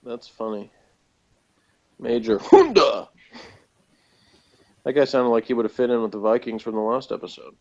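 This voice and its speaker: A man's voice: medium pitch, normal speed, long pauses. Sardonic man chatting, slightly dull audio. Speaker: podcast reviewer. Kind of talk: casual review chat.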